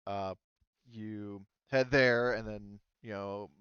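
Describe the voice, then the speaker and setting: Low, smooth-voiced man running a tabletop game. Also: fairly even intonation, takes it slowly, dry recording, muffled recording. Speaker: game master. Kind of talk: narrating a tabletop roleplaying game, improvised.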